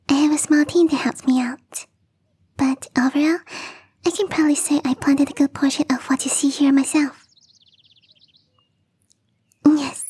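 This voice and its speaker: A young catgirl gardener played by a woman murmurs in a high, soft and breathy voice with a mellow, rounded tone. She is gentle and earnest, with a teasing streak, and confides in the listener as if up close. The delivery is intimate ASMR roleplay acting, whispery and tender. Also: natural voice.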